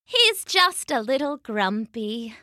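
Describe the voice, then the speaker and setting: A woman voicing a kindly, slightly sly old wife in a children's story, with a drawn-out, coaxing way of talking. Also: a little high, big pitch swings, pushed and bright, dry recording, studio quality, heavily acted. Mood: kindly, soothing. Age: older. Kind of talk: acted children's storybook character.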